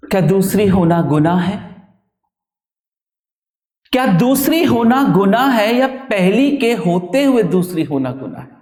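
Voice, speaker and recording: A man reciting his own poetry in a dramatic, emotive style, with deliberate delivery. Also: high voice, darkish tone. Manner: emotive, deliberate, with repeated refrains. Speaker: poet reciting own work. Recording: roomy echo, distant microphone.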